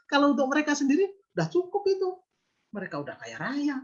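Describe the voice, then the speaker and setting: A man's voice: extremely high pitch, wildly swooping pitch, quick pace. Earnest man in his fifties, lo-fi. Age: 50s. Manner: earnest, explains with rhetorical questions. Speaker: business owner guest. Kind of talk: reflective interview talk.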